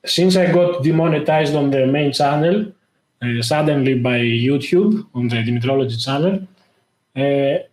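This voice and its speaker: Man, halting, in a roomy echo. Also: mid-range voice, darkish tone. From Greece. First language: Greek. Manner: halting, lots of "uh" fillers. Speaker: guest, tech YouTuber. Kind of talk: casual interview talk.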